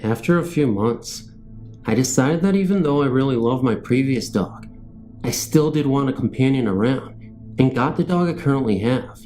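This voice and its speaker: A man's voice: medium pitch, animated, normal speed, warm tone, faint music behind. A man reading scary stories as a narrator, in a scripted storytelling style.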